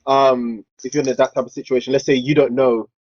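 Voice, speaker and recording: A man's voice: medium pitch, little pitch movement, brisk, a little mellow, dry recording. A young man who explains his ideas at length in casual podcast chat, stammering as he starts. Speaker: podcast co-host. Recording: dull lo-fi dry sound.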